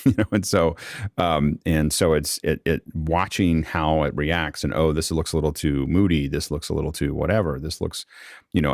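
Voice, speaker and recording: A man's voice: a bass voice, vocal fry, a little mellow, no room sound, studio quality. A man hosting a live online panel in casual talk, thinking aloud in a rambling way and often repeating words. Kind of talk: casual spoken discussion. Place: remote video call.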